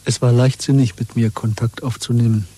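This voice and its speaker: A man's voice: mid-range voice, steady tone, a little fast, warm tone, husky, lightly acted. An actor in a German radio drama playing a wary, weary man nearing forty who speaks in a guarded, terse way.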